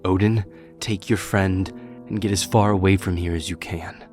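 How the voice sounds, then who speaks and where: A man's voice: deep voice, fairly even intonation, normal speed, darkish tone, faint music behind, close to an everyday voice. A man playing a solemn, self-sacrificing warrior king in a fantasy audio drama, grave and formal in manner. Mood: defiant.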